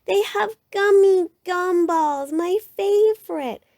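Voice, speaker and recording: A woman voicing a whiny, excited little girl bear cub who pleads and shouts. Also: slow, no echo, clean studio sound, full character voice. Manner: whining, pleading, shrill.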